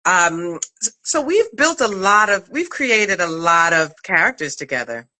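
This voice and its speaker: A woman's voice: lowish voice, big pitch swings, measured pace, bright, forceful tone. A middle-aged woman hosting a casual live chat in an effusive, affectionate manner. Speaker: podcast writer-director hosting a live chat.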